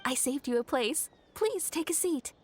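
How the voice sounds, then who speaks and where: A woman's voice: sits high, lively intonation, normal speed, a little hiss or hum. A woman voicing an eager, friendly young female student in a video game, speaking with urgency. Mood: excited, hushed urgency.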